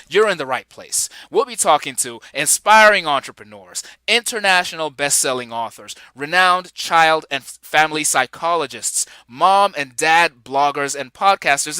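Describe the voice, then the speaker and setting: Man, from Nigeria, forties, podcast host, enthusiastic, bright, slightly high voice. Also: no room sound. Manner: enthusiastic, hyped, chuckling asides. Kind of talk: upbeat solo podcast intro.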